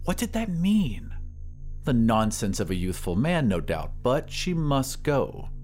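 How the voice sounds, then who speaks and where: A man narrating a story in a deliberate, literary, dramatic scripted style. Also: mid-range voice, big pitch swings, a little mellow. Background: music.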